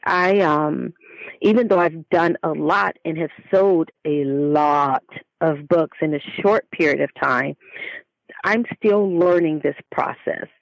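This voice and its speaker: A woman's voice: sits low, no echo, muffled recording. A woman speaking as a guest author, giving earnest, explanatory answers in a conversational interview. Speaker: guest, children's book author.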